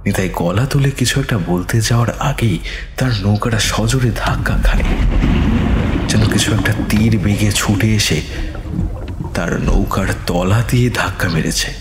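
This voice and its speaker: Low-voiced man narrating a horror tale. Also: a little slow, echoey room, very noisy. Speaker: storyteller.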